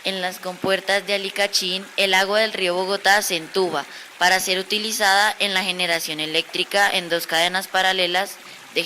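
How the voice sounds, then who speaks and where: A woman of medium pitch reads a narration in a bright voice, with faint noise and water sounds behind her. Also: low-quality recording. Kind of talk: scripted narration.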